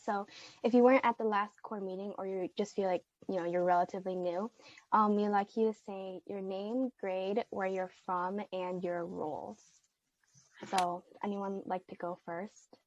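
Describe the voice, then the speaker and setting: A teenage woman explaining meeting rules over a video call in a steady, instructive way. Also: mid-range voice, normal speed. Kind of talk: casual online meeting. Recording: dull, dry video call audio.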